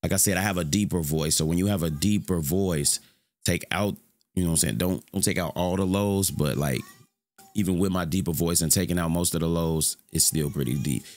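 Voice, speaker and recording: A young man with a deep voice giving a loose, rambling, slangy tutorial on his streaming setup from his home room. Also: brisk, a little mellow. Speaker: streamer showing mic settings. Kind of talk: casual screen-recorded tutorial.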